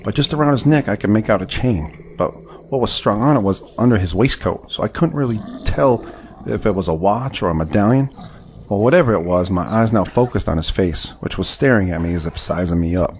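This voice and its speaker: A man's voice: fairly low pitch, animated, brisk, a little mellow, a little hiss or hum. An actor playing a proud, indignant Southern crossbowman of the 1870s in an audio drama, with formal, theatrical speech. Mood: grave narrating. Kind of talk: audio drama with first-person narration.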